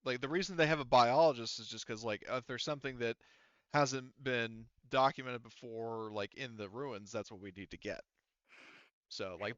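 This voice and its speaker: A man narrates a tabletop game as game master in a bright, smooth voice. He explains casually and haltingly, circling back with asides. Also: mid-range voice, dry recording, lo-fi sound.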